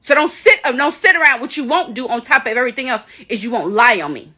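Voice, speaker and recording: A woman's voice: on the high side, lively intonation, quick pace, bright, forceful tone. A woman making a defiant public statement, emphatic and accusatory, repeating herself for stress. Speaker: accuser in a played clip.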